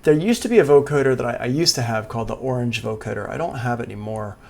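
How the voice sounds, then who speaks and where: Casting: male music production instructor, informal, creaky low-to-middle voice, thinking aloud on a livestream with faint background noise. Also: fast, darkish tone. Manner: casual, informal. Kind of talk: live software tutorial, thinking aloud. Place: indoors, livestream class.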